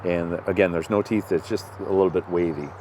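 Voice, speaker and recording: A man's voice: sits low, fairly even intonation, a little fast. A man hosting a casual outdoor vlog, explaining wild plants with enthusiasm as he walks through the woods. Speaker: host. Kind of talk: casual outdoor foraging talk.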